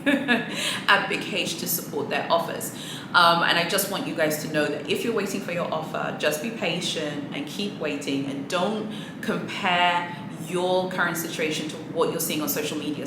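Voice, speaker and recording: Low-voiced woman giving chatty vlog advice. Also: echoey room, noisy background. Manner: earnest, chatty, motivational, with small laughs and restarts. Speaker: luxury handbag vlogger. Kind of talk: casual to-camera advice talk.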